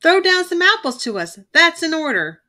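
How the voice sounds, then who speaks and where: A woman voicing a bossy young male bear bully who shouts orders at his gang. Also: very high voice, very animated, moderate pace, pitched above the performer's own voice, heavily acted. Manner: bossy, yelling.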